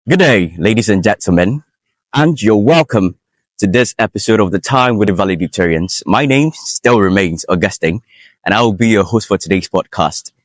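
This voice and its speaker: A man's voice: sits low, no echo. A man hosting an interview podcast, formal and lavish with praise for his guest. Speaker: podcast host.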